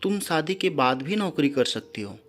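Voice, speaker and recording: A man's voice: a little high, sing-song, moderate pace. Man voicing a pushy, short-tempered father over music. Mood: coaxing, insistent. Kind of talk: storybook character dialogue. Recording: background music under the voice.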